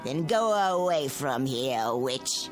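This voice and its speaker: A woman's voice: very deep voice, animated, slow, music in the background, heavily acted. An actress playing a sly, mocking old backwoods witch with a Southern US accent and a taunting drawl in a radio drama. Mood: taunting, cold.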